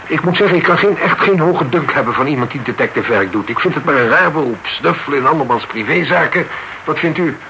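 A man's voice: a little high, animated, quick pace, creaky. Blunt, haughty older man, noisy recording. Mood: disdainful. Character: cynical, blunt older businessman, an irritated husband. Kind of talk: radio drama acting. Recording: noisy radio drama recording.

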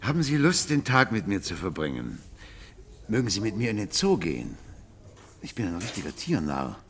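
A man's voice: on the low side, wildly swooping pitch, moderate pace, fairly bright tone, exaggerated voice. An actor playing a cultured, blunt and irascible blind man in a radio drama, grumbling and emphatic. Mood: eager, absorbed.

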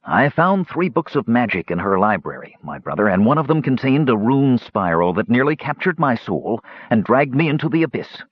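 A man's voice: medium pitch, sing-song, normal speed, hardly any pauses, slightly rough, dry recording, muffled recording, voice pushed up. A man voicing a frail, bitter mage with a cold, sarcastic, demanding manner in a fantasy audiobook. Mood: weary, grave. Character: frail, bitter, sharp-tongued male mage.

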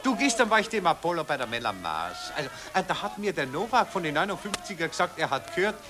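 A vain young Viennese officer in a 1940s radio play. He chats in slangy, affable style, his high voice hopping up and down. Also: big pitch swings, fast talker. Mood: self-satisfied. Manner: slangy, affable, lazily chatty. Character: breezy, vain young Austrian army officer. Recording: old 1940s radio recording.

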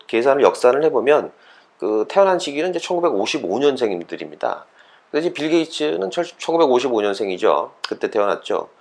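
A man's voice: mid-range voice, vocal fry. A man giving an explanatory, lecture-style talk as a host, conversational and fond of posing rhetorical questions. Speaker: host of an educational talk series for parents and children.

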